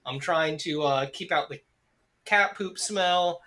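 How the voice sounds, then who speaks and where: Stuffed-up man, bright voice. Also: a little high, slow. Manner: rambling, joking, lots of ums and restarts. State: stuffed up, congested. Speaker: podcast co-host. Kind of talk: casual banter. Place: small hot room at home.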